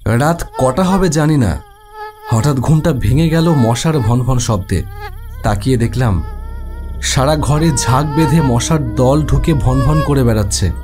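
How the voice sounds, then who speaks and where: A practical, doubting house owner in an animated ghost story, voiced by a man. He has a mellow, medium-pitched voice and a measured way of speaking. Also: soft background music, close to an everyday voice. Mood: uneasy, hushed wonder. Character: skeptical, practical male house owner and boss. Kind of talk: cartoon horror story dialogue and narration.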